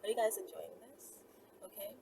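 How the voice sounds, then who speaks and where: A woman chatting casually to her viewers in a blunt, slangy, animated way, often sidetracking herself. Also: mid-range voice, normal speed, gravelly. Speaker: video channel host. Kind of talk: casual rambling chat to viewers. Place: outdoors near houses and passing cars. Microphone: phone on a holder. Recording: noisy outdoor phone recording, passing vehicles.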